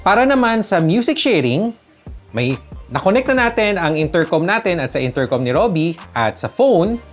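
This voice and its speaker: A woman's voice: lowish voice, sing-song, normal speed, soft background music. A Filipino woman vlogger narrating a casual step-by-step tutorial. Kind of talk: tutorial narration mixing casual and instructional talk.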